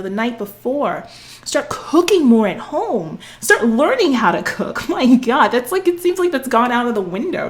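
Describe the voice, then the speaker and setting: A fitness coach in her forties speaks straight to the camera, sassy and preachy, calling her listeners honey and girl. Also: fairly high pitch. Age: 40s. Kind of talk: direct-to-camera coaching talk.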